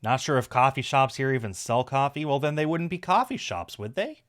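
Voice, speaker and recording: A man's voice: mid-range voice, lively intonation, a little fast. A man reading a visual novel aloud on a stream, narrating the story with casual asides.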